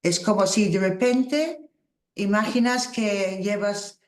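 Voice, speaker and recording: Slow, reflective woman, Spanish. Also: medium pitch. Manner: slow, halting, reflective, with small laughs. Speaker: workshop teacher. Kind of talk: spiritual workshop talk. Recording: roomy echo.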